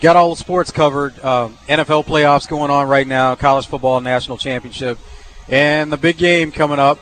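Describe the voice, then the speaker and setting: A man's voice: a little high, fairly even intonation, normal speed, a bit bright, faint music behind, low-quality recording. A man co-hosting live sports radio from a restaurant bar, conversational and a little hesitant.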